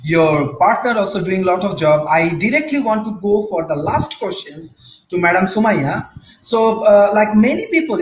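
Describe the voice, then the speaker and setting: High-voiced male host, echoey dull sound. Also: slight background noise. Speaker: interview host. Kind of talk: interview questions.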